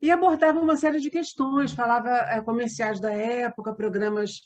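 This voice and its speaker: A woman with a Brazilian Portuguese accent, an interview guest explaining conversationally, with halting digressions. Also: a little high, fairly even intonation, a little mellow. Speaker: interview guest, theatre company member. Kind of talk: conversational storytelling.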